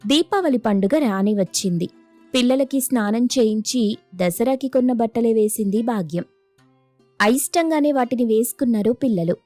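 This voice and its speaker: Woman storyteller with a highish voice. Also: dark tone. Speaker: story narrator. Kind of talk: scripted storytelling narration.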